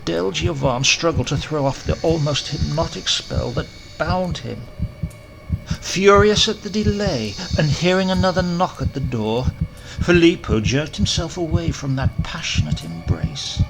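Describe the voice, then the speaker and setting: A man's voice: fairly high pitch, expressive, measured pace, gravelly, noisy background. A man reading a horror story as an audiobook narrator, theatrical, emphatic and formal in his delivery. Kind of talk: dramatic scripted horror narration.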